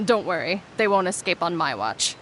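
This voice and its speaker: A woman playing a businesslike prison guard in a video game, brisk and official. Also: lowish voice, very animated, a bit bright, slight background noise. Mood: confident, official.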